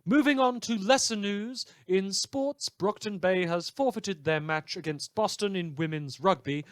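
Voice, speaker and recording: Male performer as theatrical, sarcastic underground radio news host. High-pitched, dry recording, dramatic emphasis. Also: measured pace, dry recording. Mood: sardonic. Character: snide, theatrical, conspiracy-minded pirate radio news host. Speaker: fictional radio show host. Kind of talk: mock radio news broadcast.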